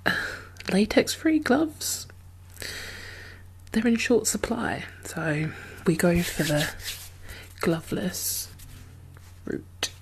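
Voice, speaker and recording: A woman playing a gentle, chatty medic in a zombie apocalypse ASMR roleplay, speaking softly and hesitantly with a reassuring manner. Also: fairly low pitch. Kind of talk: soft-spoken, whispered ASMR roleplay.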